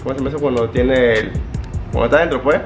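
Young Panamanian man with a creaky voice. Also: medium pitch, steady tone, rapid-fire, music in the background. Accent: Panamanian Spanish. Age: early twenties. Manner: slangy, informal. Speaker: YouTuber playing a phone prank. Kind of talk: casual prank-call chat. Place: living room indoors, on a couch.

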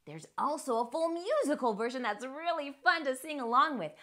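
A middle-aged woman hosting a children's storytime, speaking in an animated, expressive way to young readers. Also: high-pitched, normal speed. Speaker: host of children's read-aloud show. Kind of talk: children's storytime read-aloud and presenting. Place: small furnished room indoors. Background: music.

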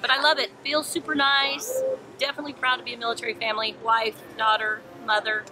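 A woman's voice: a little high, steady tone, fast, music in the background, low-quality recording. A woman in her forties, a guest on a vlog, chatting in a proud, upbeat way outdoors at a racetrack, recorded by a nearby handheld camera. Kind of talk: casual interview chat. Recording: crowd noise.

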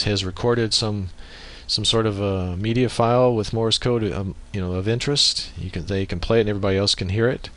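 A man giving an informal software tutorial, explaining methodically and thinking aloud as he works through the steps. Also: fairly low pitch. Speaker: tutorial presenter. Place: indoors at a computer, voice-over on screen recording.

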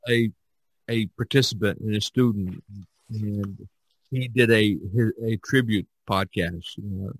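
A man hosting a podcast, talking casually in a rambling, halting way. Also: medium pitch, fairly bright tone, dry recording, rough recording. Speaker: podcast host. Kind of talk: casual conversation.

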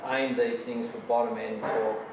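A middle-aged mechanic explaining an outboard engine on camera, hesitant with many ums and technical detail. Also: male voice, mid-range voice, little pitch movement, moderate pace. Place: indoor workshop beside a boat. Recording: echoey workshop, distant mic, noisy.

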